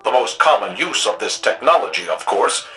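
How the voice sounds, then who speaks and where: A man's voice: mid-range voice, big pitch swings, pushed and bright, faint music behind. An actor playing a formal, composed male news anchor of a futuristic city in a video game, reading a scripted bulletin. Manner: formal, measured announcing.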